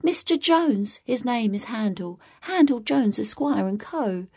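A woman voicing a sad, gentle lady from a nonsense poem, speaking in a high, lilting sing-song. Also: lively intonation, a little slow, breathy edge, heavily acted. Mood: sorrowful.

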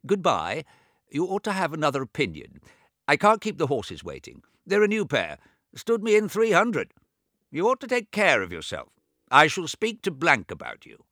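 Brief: a man playing a fussy, money-minded old man near eighty, high thin voice, quick prattle, dry sound. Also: wildly swooping pitch, fairly bright tone, dry recording. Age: 80s.

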